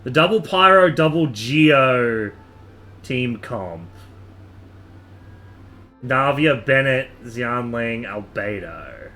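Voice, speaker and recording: Lively, bright-voiced man gaming on stream. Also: mid-range voice, drawn-out words, pauses often. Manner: rambling, thinking aloud, swears casually. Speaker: game streamer. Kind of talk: casual live stream chat while gaming.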